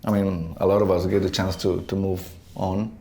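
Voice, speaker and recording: Low-voiced Mexican man, halting and reflective. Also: flat delivery, gravelly. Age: late 30s. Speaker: facilities and safety technician and staff trainer. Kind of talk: reflective personal storytelling for a training film. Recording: soft plucked guitar music behind. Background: guitar music.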